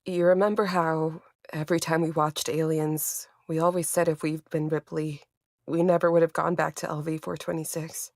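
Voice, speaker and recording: A woman's voice: low voice, flat delivery, moderate pace, a little mellow, dry recording, clean studio sound. A woman playing an anxious, haunted but determined character who narrates her own horror story in a tense, confiding way. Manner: tense confiding first-person narration, emotional. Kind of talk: horror audio drama narration and acting.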